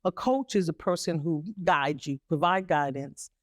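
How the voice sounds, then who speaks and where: A woman speaking as a guest mentor, explaining things calmly in conversation. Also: sits low, normal speed, dry recording, clean studio sound.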